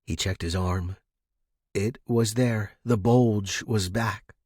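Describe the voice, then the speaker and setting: Male fiction narrator, husky and lively, dry close sound, dramatic storytelling. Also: lowish voice, slow, no room sound. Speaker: audiobook-style fiction narrator. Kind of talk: scripted short-story narration.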